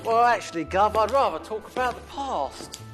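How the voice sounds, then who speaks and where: A man's voice: high-pitched, lively intonation, normal speed, a bit bright, soft background music. An actor playing a chatty male prisoner who boasts about his car-stealing past to a guard.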